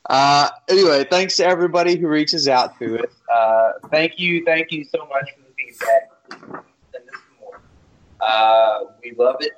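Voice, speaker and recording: A man co-hosting a horror movie podcast in casual chat, relaxed and chuckling often, with plenty of fillers. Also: fairly high pitch, unhurried, muffled recording. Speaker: podcast co-host.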